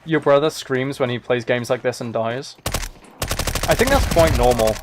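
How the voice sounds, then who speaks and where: A man's voice: mid-range voice, normal speed, background noise. A man giving casual, chatty, self-deprecating commentary while he plays a game on a live stream. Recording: game gunfire and clicks behind voice. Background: video game gunfire, clicking.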